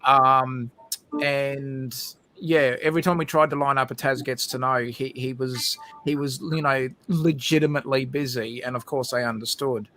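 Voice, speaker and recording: A man's voice: medium pitch, steady tone, moderate pace, bright, forceful tone. A man with an Australian accent, a guest chatting casually on a livestream, rambling with many fillers and restarts. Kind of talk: casual chat.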